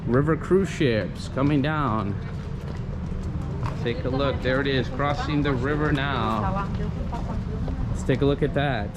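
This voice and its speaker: A man's voice: mid-range voice, takes it slowly, long pauses. Chatty man on a noisy riverside walk. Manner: enthusiastic, chatty, addresses viewers as guys. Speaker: travel vlogger. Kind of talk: casual walking tour narration. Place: outdoors on a busy riverside street. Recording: loud street and traffic noise.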